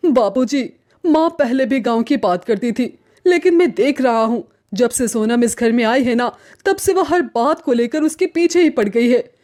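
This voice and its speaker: Woman voicing a high-pitched exasperated young husband. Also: animated, moderate pace, darkish tone, lightly acted. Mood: troubled. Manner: complaining, reasoning. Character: adult son and young husband, exasperated, protective. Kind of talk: cartoon story voice acting.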